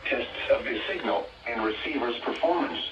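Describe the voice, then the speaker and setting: A man reading a scripted public warning test as a weather radio announcer, in a formal, measured, automated-sounding delivery over the radio. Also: medium pitch, animated. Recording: muffled, noisy weather radio broadcast.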